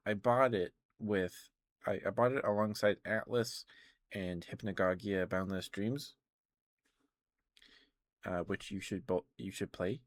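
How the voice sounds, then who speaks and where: A man chatting casually and hesitantly on an ASMR game livestream, musing and rambling as he goes. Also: low voice. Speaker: live streamer, video game streamer.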